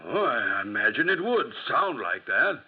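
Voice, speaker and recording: A man's voice: medium pitch, very animated, a little slow, husky. A man playing a pompous, foolish French gendarme in a 1940s radio drama, officious and blustering. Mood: cocksure. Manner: officious, blustering, hesitant when challenged. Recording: muffled 1940s radio recording.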